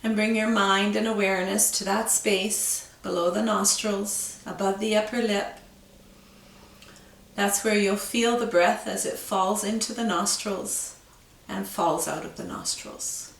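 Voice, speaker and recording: A woman teaching yoga, guiding a breathing meditation with slow, calm, soothing instructions. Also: mid-range voice, reverberant, recorded from a distance, rough recording.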